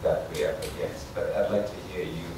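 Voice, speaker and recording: A man's voice: low voice, fairly even intonation, fairly quick. A man asking musing questions from the audience of a conference panel, searching for his words. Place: lecture hall, seated in audience. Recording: distant echoey hall with background noise.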